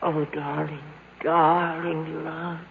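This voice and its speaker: A cavernous echo surrounds an adoring young sweetheart. She coos slowly, drawing out her endearments in 1970s radio drama style, on a thin old broadcast recording. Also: low voice, flat delivery, gravelly, narrow, tinny audio, noisy background, full character voice. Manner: cooing, drawn-out endearments. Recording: echoing cave effect, thin old radio sound.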